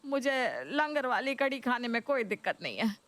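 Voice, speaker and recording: An older Indian mother-in-law in a cartoon story, acted by a woman, demanding and fond of her food. She coaxes and exclaims, stretching out her words in a fairly high voice. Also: big pitch swings, a bit bright. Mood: pleading. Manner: exclamatory, coaxing, drawn-out words. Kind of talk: Hindi cartoon story voice acting.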